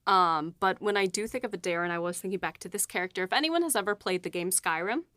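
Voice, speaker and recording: A woman's voice: mid-range voice, fast talker, bright, forceful tone, no echo. Chatty laughing woman writer. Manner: rambling, laughing, many fillers. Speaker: writer and podcast co-host. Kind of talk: casual behind-the-scenes chat.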